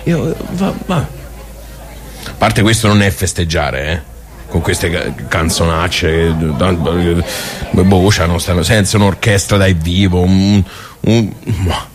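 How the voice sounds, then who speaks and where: A low-pitched, simple-hearted man of the village club for radio theatre. He talks in a colloquial Tuscan accent, rambling and breaking off into muttered asides. His temper is grumbling and nostalgic. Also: takes it slowly. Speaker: actor in a radio theatre monologue.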